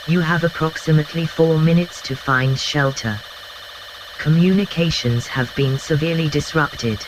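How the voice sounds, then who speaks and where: Emergency broadcast announcer, formal and clipped, medium pitch, noisy recording. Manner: formal, clipped short statements. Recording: clear noise and alarm sounds behind.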